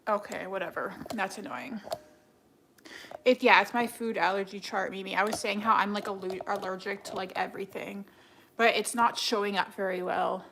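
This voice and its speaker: A woman's voice: mid-range voice, steady tone, normal speed, fairly bright tone. A young woman chatting on a livestream with her viewers, sounding stuffed up and rambling with many restarts and fillers. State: stuffed-up nose. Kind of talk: casual livestream chat with viewers. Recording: echoey room with clear background noise.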